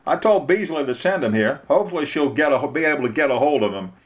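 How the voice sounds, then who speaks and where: A man's voice: a little high, animated, quick pace, pitched above the performer's own voice, natural voice. A man reading a story, voicing a folksy, blunt Southern lawyer who talks in an emphatic, colloquial way. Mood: blunt, confiding.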